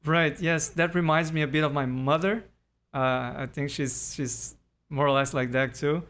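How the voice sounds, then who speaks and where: A man interviewing a guest. He has a fairly high voice and asks friendly questions, with short, encouraging replies between them. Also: fairly even intonation, normal speed, fairly bright tone. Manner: friendly and encouraging, brief acknowledgements.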